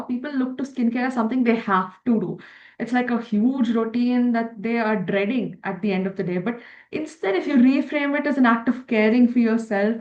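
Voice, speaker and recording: Female interviewer, affirming and upbeat, a bit high-pitched, recorded in a roomy space with a dull tone. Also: a little mellow. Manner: effusive, affirming, enthusiastic. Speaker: podcast host. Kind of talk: interview hosting. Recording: echoey room, slightly dull sound.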